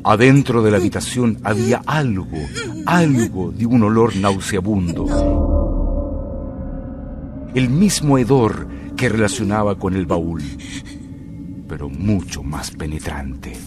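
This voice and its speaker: A man narrating a mystery radio drama in a deliberate, dramatic way with pauses. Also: on the low side, expressive, music in the background.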